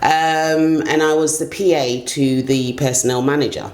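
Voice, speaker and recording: A woman's voice: low voice, fairly even intonation, moderate pace, a little mellow. Middle-aged woman, echoey room. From Ghana and the UK. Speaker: interview guest, senior HR executive. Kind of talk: conversational interview answers. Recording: roomy echo, clear background noise.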